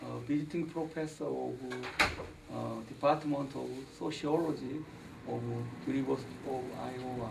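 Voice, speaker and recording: A man from Korea, a visiting professor introducing himself slowly and formally. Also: medium pitch, fairly even intonation, gravelly, far from the mic, very noisy. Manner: slow, formal, careful.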